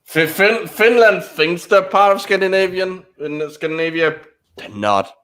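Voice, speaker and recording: A man's voice: high-pitched, expressive, measured pace, a bit bright. A man co-hosting a casual live stream, telling an anecdote with plenty of restarts. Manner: rambling anecdotes with many restarts. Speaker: co-host.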